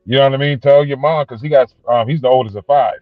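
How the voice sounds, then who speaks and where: A man with a middle-pitched voice chatting on a podcast in a laid-back way, quick to laugh. His sound is thin and tinny, like a phone line, and quite dry. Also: no echo. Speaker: podcast co-guest, family of the boxer. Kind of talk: casual podcast chat.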